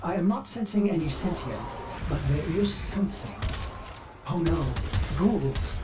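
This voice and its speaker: An actor playing an over-eager, fussy artificial intelligence that serves aboard a spaceship in a video game. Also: male voice, on the high side, animated, a little slow, very noisy. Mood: curious, uneasy. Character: chirpy, bug-addled male ship AI, eager servant with dark glitches. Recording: music behind the voice.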